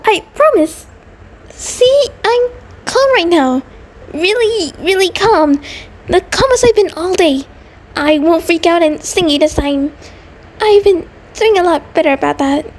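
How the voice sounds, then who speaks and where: A woman's voice: extremely high pitch, a little mellow, heavily acted. A woman voicing an anxious, devoted centipede creature partner in an intimate audio roleplay, nervous and hesitant, stammering and rambling. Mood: anxious, pleading. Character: anxious, devoted female mutant centipede partner, sweet and neurotic.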